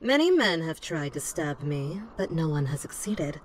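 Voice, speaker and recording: A woman's voice: sits low, very animated, normal speed, slight background noise. An actress playing a bold, sardonic noblewoman warrior in a medieval fantasy game, with a haughty, taunting manner.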